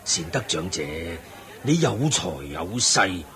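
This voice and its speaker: An actor playing a wise, kindly old Buddhist sage in a Cantonese radio drama, didactic and measured with a Hong Kong accent. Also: male voice, sits low, big pitch swings, a bit bright, exaggerated voice. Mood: gently admonishing.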